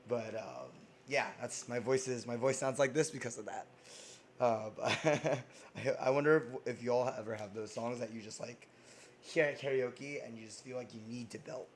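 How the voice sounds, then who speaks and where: A man with a hoarse voice hosting a casual cooking stream, chatting loosely with lots of ums and restarts. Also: mid-range voice, steady tone, normal speed, a little hiss or hum. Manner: rambling, many ums and restarts.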